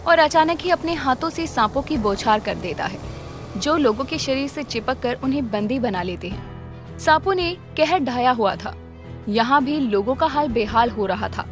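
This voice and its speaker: A woman giving dramatic, scripted narration for a children's animated tale. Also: high-pitched, normal speed, music in the background.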